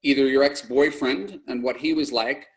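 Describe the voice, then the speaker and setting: A man's voice: medium pitch, steady tone, moderate pace, low-quality recording. A middle-aged man hosting a dating advice podcast, speaking bluntly and plainly and often correcting himself mid-sentence. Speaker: podcast host and dating coach. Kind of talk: podcast monologue and call-in coaching.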